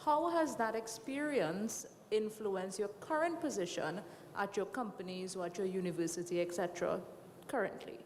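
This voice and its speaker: A woman moderating a conference panel, asking questions in a measured way. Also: mid-range voice, slight background noise. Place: large conference hall. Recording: hall reverb.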